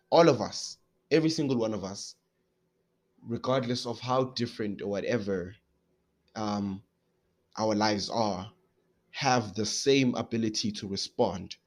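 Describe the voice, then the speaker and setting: A young man, hosting his own faith podcast while a bit sick, talking casually with many fillers and restarts. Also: medium pitch. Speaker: podcast host.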